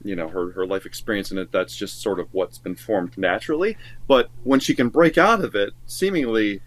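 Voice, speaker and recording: A man's voice: lowish voice, brisk. A man, a songwriter co-hosting a reaction chat, asking curious questions and repeating himself as he thinks aloud. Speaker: songwriter co-host.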